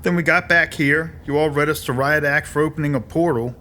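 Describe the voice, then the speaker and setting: An actor playing a gruff, defensive military sergeant in an audio drama, recounting things in a brusque, matter-of-fact way. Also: male voice, fairly high pitch, a little fast, sharp tone, soft background music. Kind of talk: audio drama narration in character.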